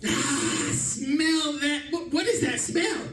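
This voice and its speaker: Man playing high-pitched, exasperated radio detective. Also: slow, fairly bright tone, exaggerated voice. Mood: curious. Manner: theatrical, ranting, emphatic. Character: exasperated, boastful male 1940s-style detective, dramatically rattled time traveler. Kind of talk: comedic old-time radio drama acting, partly improvised. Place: live stage performance in an echoey room. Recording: echoey room, distant mic, faint noise.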